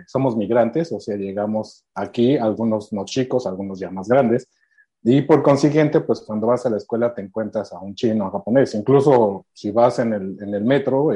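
Man, Mexican Spanish accent, lowish voice, mellow, interview guest, explanatory. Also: normal speed, breathy edge. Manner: explanatory, many fillers and restarts. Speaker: immigration consultant guest. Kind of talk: conversational explanation.

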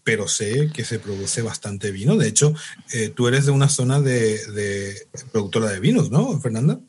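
A man's voice: on the low side, lively intonation, moderate pace, darkish tone, slight background noise. A man from co-hosting a wine podcast, joking and teasing in casual chat. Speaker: podcast co-host.

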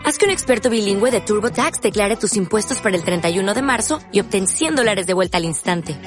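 A woman delivers a scripted commercial with very lively intonation. Her voice is mid-pitched, over a clear music bed. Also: fast talker. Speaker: advert voice-over.

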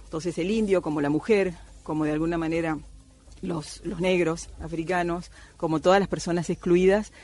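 A woman's voice: lowish voice, fairly even intonation, moderate pace, darkish tone. A middle-aged woman from Cuba, an anthropologist, speaking thoughtfully with many hesitations in a radio interview. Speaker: anthropologist guest.

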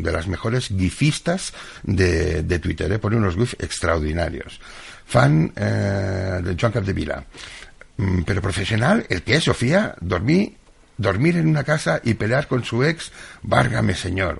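Gravelly, husky man hosting casual radio. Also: fairly low pitch. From Catalonia, Spain. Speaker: radio host. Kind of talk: casual live radio chat, reading listener messages.

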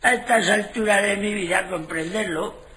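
A man plays a fretful old patriarch from a comic radio serial. His voice is very high and piercingly bright. He pleads for peace, repeating himself emphatically. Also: normal speed. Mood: pleading. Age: older. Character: fretful octogenarian grandfather, peacemaking family patriarch.

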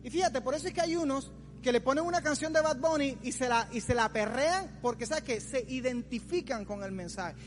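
A man's voice: extremely high pitch, a little fast, a little hiss or hum. A man in his forties preaching an informal youth sermon as a pastor, in a colloquial, teasing manner. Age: 40s. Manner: colloquial, teasing, acts out imagined complainers. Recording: long hall reverb, music underneath.

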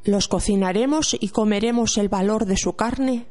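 A woman's voice: medium pitch, normal speed, darkish tone, faint music behind, lightly acted. A woman playing a young chief's daughter in love in an amateur radio play, delivering her lines plainly and earnestly. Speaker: amateur radio drama actor.

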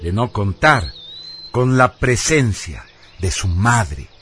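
A man narrating a scripted radio serial in a solemn, theatrical and deliberate way. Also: fairly low pitch, sing-song, a bit bright, a little hiss or hum. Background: music.

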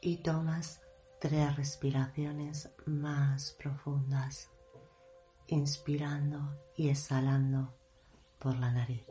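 A woman leading a guided meditation in a hushed, measured delivery, leaving long pauses between short phrases. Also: sits low, flat delivery, soft background music, low-quality recording. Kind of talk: guided meditation, slow soothing narration.

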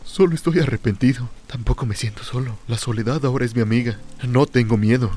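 A man's voice: mid-range voice, fast talker, warm tone, close to an everyday voice. A man performs a tormented, solitary drunkard in a radio drama, slipping into fear and madness. The voice sits in a middle male register, brooding and theatrical as a first-person soliloquy. Music and rain play behind it. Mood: defiant, bitter.

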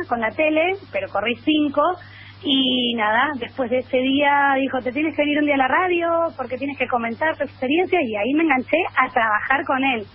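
A woman in her thirties from Argentina, her accent mixing Argentine and Spain Spanish, telling her story at length as a guest on a radio show. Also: high voice. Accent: Argentine with Spanish (Spain) mix. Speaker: guest columnist. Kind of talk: phone interview. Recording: muffled lo-fi line with faint noise.

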